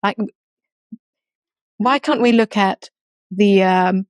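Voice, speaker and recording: A woman's voice: mid-range voice, clean tone, no echo. A woman born in Romania, a guest entrepreneur speaking earnestly in a casual interview, often stopping and restarting her sentences. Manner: earnest, halting with many restarts.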